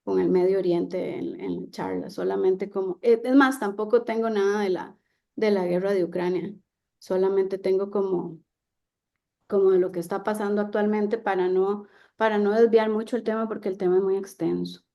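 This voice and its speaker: A woman lecturer answers audience questions, pausing often and restarting her sentences. Her voice has a gravelly fry and a dark, mellow tone. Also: medium pitch, steady tone. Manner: hesitant, many fillers and restarts. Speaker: guest speaker on trade. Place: seated, online talk.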